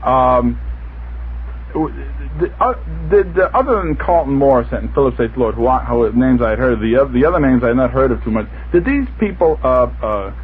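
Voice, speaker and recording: A man's voice: medium pitch, expressive, moderate pace. A middle-aged man chatting as a radio panelist, stammering and repeating words as he goes. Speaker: panelist on a radio talk show. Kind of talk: casual radio conversation. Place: radio studio. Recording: noisy, tinny 1960s radio recording.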